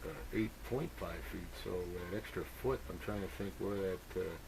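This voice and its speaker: A low-pitched male voice talks over two-way radio with clear noise around it. He is an amateur operator in casual technical conversation, musing and working things out aloud. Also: normal speed.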